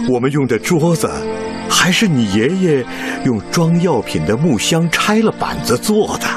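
An actor playing a calm, caring senior Chinese doctor and professor, reassuring and measured, in a radio drama. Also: male voice, mid-range voice. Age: older.

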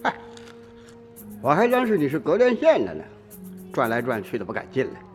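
Scrapyard boss: shrewd, canny, very varied intonation, radio drama with music under him. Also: male voice, mid-range voice, huge pitch swings, fairly quick. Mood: nosy, amused. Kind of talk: Chinese radio drama acting.

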